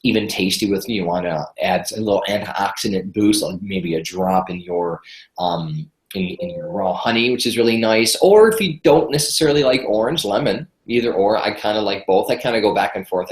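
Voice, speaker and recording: A man's voice: on the low side, fairly quick. Congested chatty man, roomy sound. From Michigan. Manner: chatty, enthusiastic, repeats words when starting sentences. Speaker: guest health expert. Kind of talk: podcast interview.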